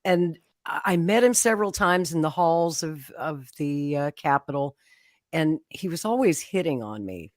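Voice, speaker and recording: A woman tells her story as a guest on a talk show. Her voice sits low, and the sound is dry and close. She talks in a chatty way, laughing often and acting out the words of others. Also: animated, normal speed, no room sound. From Oklahoma, USA. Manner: chatty, frequent laughter, acts out quotes. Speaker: political guest. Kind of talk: conversational storytelling.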